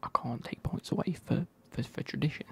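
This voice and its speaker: A man, reviewing football kits in a soft, casual whisper with wry asides. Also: a little fast.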